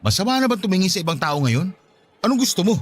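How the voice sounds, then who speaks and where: An actor playing an exasperated, defensive boyfriend with incredulous, sarcastic retorts in radio drama dialogue. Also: male voice, high-pitched, very animated, fast talker, breathy edge, exaggerated voice.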